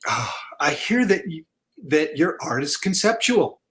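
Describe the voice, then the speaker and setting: A man's voice: on the high side, very animated, a little slow, fairly bright tone. A man hosting a podcast interview, asking encouraging follow-up questions in casual chat. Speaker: podcast host.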